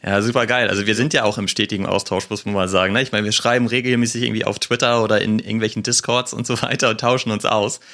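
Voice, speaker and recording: A man, co-hosting a casual podcast and reading the intro and disclaimer in an upbeat, relaxed way. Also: on the low side, quick pace, few pauses. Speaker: podcast co-host.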